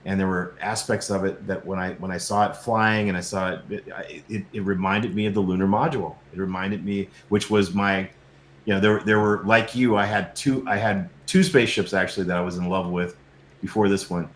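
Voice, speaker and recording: A man's voice: low-pitched, steady tone, fairly quick. A middle-aged American man, a guest on a show, telling personal stories in a conversational way. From United States. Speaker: guest, filmmaker. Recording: echoey, roomy sound.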